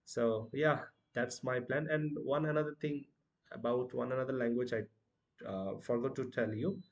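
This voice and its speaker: A man's voice: mid-range voice, steady tone, moderate pace, lo-fi sound. A young man from Bangladesh with a Bangladeshi accent, a native Bangla speaker, giving casual advice in a vlog, full of hesitations and "you know" fillers as he corrects himself mid-sentence. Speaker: language-learning vlogger.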